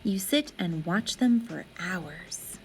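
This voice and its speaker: A woman narrates a children's storybook with great expression. Her voice sits somewhat high and rises and falls widely, with slow, deliberate phrasing. Music plays behind her. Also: expressive, low-quality recording.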